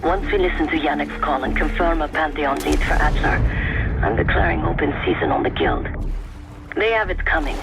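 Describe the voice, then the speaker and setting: Tough female operative, terse and commanding, low creaky delivery, video game with music beneath. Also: very animated, moderate pace, exaggerated voice. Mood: wry. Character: tough, vengeful female covert operative guiding a partner. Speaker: mission guide. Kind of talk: cinematic action game dialogue. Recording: loud game music underneath.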